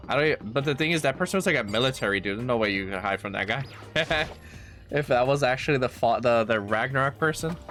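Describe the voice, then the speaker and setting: A congested man plays a game on stream, cracking crude jokes. His pitch swoops all over as he talks. Faint game music plays underneath. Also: lively intonation, fast talker, fairly bright tone. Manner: crude, joking, swears often. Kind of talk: casual let's-play chat, reading game text aloud.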